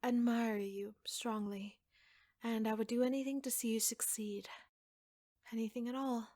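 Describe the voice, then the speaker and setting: A woman playing an obsessive, jealous secretary in an ASMR audio roleplay, soft-spoken and often slipping into breathy whispers. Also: on the high side, steady tone, a little slow, no echo, studio quality, close to an everyday voice. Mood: adoring. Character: obsessive yandere secretary, devoted, jealous, coldly menacing woman.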